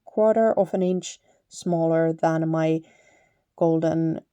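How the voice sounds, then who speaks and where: Slow, mellow Finnish-accented woman crafter. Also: low voice, smooth, no echo, muffled recording. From Finland. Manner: relaxed, chatty, laughs lightly, self-correcting. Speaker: paper crafter, channel host. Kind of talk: casual crafting tutorial voice-over.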